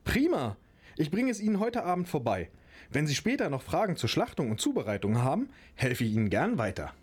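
A man's voice: fairly high pitch, huge pitch swings, a little fast, darkish tone, husky, heavily acted. A man playing a chatty, practical rabbit breeder in an amateur radio play. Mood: helpful.

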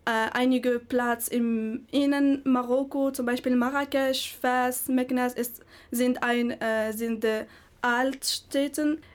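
Slow, halting woman. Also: fairly high pitch, steady tone. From Morocco. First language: not German. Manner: halting, searching for words, many hesitations. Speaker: interviewee. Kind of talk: interview answers.

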